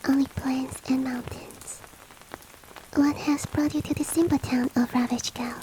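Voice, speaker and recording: A woman voicing a courteous, coaxing monster-girl maid in a soft, breathy, whispery roleplay ASMR style with formal, old-fashioned politeness. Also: high voice, little pitch movement, unhurried, slight background noise, low-quality recording. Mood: politely curious. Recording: rain ambience behind the voice. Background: falling rain.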